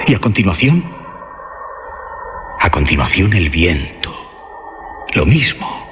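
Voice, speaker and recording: A man narrating a horror radio play in a theatrical, suspenseful way, with emphatic phrasing and dramatic pauses. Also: low-pitched, noisy background. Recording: dull lo-fi audio under music. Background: dramatic music.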